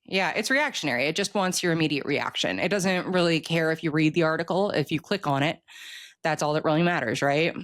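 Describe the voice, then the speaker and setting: Animated, casual talker in a conversation. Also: medium pitch, a little fast, dry recording, clean studio sound.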